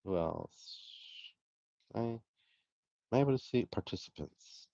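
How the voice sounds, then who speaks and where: Middle aged guy rambling on his livestream, lowish voice, dry dull lo-fi sound. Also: lively intonation, a little slow, gravelly, mellow, dry recording. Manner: rambling, self-deprecating, with fillers and laughs. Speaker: livestream host. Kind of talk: casual livestream chat, reading viewer comments.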